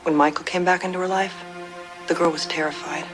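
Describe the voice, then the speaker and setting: A woman's voice: lowish voice, fairly even intonation, brisk, music in the background, natural voice. Actress as bitter, sardonic woman in her fifties. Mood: bitter, weary. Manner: sardonic, blunt. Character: bitter, defiant retired female producer in her fifties. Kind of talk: audio drama acting.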